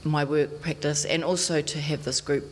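A woman's voice: deep voice, steady tone, moderate pace. A woman in her fifties with a New Zealand accent, introducing herself as a fibre artist on a panel in a chatty, easygoing way. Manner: chatty, laughs easily. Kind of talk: casual self-introduction. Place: indoor art gallery. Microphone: stand mic. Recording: reverberant gallery, faint hiss.

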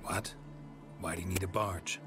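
A man acting a gruff, laconic monster hunter in a video game, terse and deadpan with dry wit. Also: sits low, measured pace, music in the background. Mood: dry, probing.

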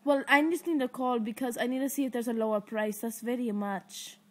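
A woman's voice: fairly high pitch, brisk. A teenage girl making a prank phone call from a living room, rambling in fake distress, recorded on a close laptop webcam mic. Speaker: prank caller.

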